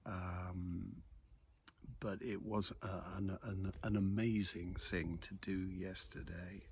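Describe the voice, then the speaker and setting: An older man chatting casually about local events in a hesitant, rambling, reflective way, with a husky voice. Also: sits low, level tone, takes it slowly, darkish tone, a little hiss or hum. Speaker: local news vlogger. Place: outdoors in a quiet churchyard.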